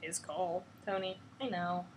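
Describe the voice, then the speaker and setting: A woman voicing a gruff male hero who speaks in a low, subdued way. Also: fairly even intonation, a little slow, a little hiss or hum, natural voice. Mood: resigned.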